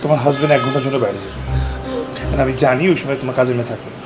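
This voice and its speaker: An actor playing a scheming, insistent former lover in a Bangladeshi TV drama, with a Bangladeshi accent. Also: male voice, mid-range voice, rapid-fire, music in the background, lightly acted. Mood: insistent, teasing. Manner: mixes English phrases into speech. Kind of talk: TV drama acting.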